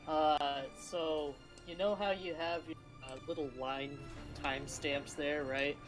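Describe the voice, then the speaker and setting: A woman's voice: deep voice, fairly even intonation, takes it slowly. A woman chatting on a live stream, hesitant and leaning heavily on "like" as she explains things. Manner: hesitant, repeats "like" a lot. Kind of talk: casual live stream chat. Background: background music.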